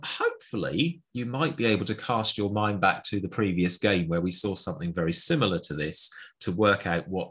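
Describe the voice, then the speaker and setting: Deep, husky chess teacher. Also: male voice, bright, forceful tone. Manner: chatty and playful, calls out moves one by one. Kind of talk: chess lesson narration over an on-screen board.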